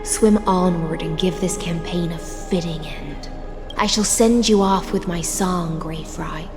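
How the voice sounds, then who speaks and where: Solemn mystical game lady, unhurried, lowish, big reverb. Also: fairly even intonation, music in the background. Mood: solemn, gentle. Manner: stately, poetic. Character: solemn, graceful, enigmatic female singer of the depths. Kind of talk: video game voice acting.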